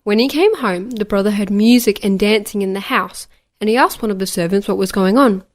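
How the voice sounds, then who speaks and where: A woman's voice: mid-range voice, a little fast. A woman reading a scripted Bible story for children.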